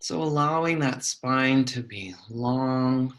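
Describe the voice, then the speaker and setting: A man guides a meditation at a very unhurried pace, in a fairly average-pitched voice. He gives soft, measured instructions and leaves long pauses between his phrases. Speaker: psychologist leading a guided meditation.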